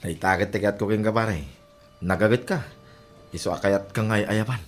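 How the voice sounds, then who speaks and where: Man acting a low-voiced persuasive buddy. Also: steady tone, normal speed, lightly acted. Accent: Ilocano. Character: persuasive, familiar buddy offering construction work. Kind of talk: Ilocano audio drama acting.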